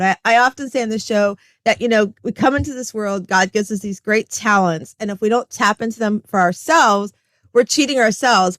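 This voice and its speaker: A woman's voice: mid-range voice, a bit bright, no echo. A woman hosting a podcast, asking questions and praising her guest in an enthusiastic, effusive way. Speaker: podcast host. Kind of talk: casual podcast interview. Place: Tampa area.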